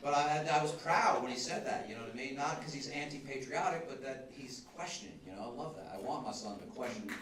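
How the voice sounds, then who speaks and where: A man's voice: mid-range voice, quick pace, hardly any pauses. A man in his forties with a Southern American accent, doing a loose, conversational stand-up set and chuckling through his punchlines. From Southern United States. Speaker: stand-up comedian. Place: comedy club stage. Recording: echoey club, far from mic, loud crowd. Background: loud audience laughter.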